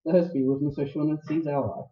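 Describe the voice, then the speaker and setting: An actor in a noir radio play, playing a suave, courtly older criminal mastermind who speaks with florid, deliberate politeness. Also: male voice, a little high, fairly even intonation, soft background music, lightly acted. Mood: smooth menace. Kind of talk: staged radio play acting, 1940s noir. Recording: roomy, dull live stage recording.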